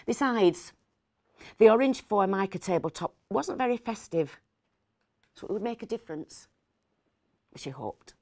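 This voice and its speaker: A woman in her fifties reading a story aloud dramatically, with pauses and hushed asides. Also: low voice, no echo. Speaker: actor reading a short story.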